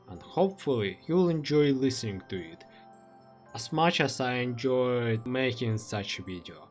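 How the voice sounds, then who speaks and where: A young man in his twenties, a native Russian speaker with a Russian accent, reading a prepared reflective monologue as a streamer. He speaks carefully and halts often with "uh" fillers. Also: medium pitch. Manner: careful, halting delivery with frequent uh fillers. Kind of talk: reflective read-aloud monologue.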